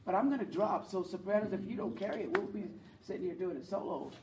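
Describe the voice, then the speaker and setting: A woman with a low voice leading a church teaching on worship, talking earnestly and encouraging her listeners to join in. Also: fast talker, background noise. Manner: earnest, encouraging, speaks to the audience and invites replies. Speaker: worship leader teaching a group. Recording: roomy sound from a distant mic, faint ambience.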